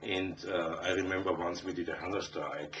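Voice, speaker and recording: Deep austrian guy, german accent, chatting in an interview, muffled audio with a bit of noise. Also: fairly even intonation, moderate pace, fairly bright tone. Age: middle-aged. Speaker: interviewed rock musician. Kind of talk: conversational interview answers. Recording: dull, distant, faintly noisy interview audio.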